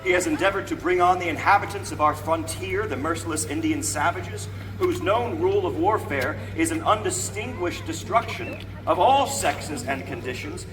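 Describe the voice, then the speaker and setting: An actor playing an eighteenth-century American statesman, a solemn and fervent orator declaiming grandly and deliberately to the public in a historical reenactment. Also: fairly high pitch, over music, close to an everyday voice. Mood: solemn, aggrieved. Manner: grand, emphatic, deliberate phrasing projected to a crowd. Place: outdoors before a crowd, speaking from a balcony. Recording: outdoor crowd recording from a distance, noisy.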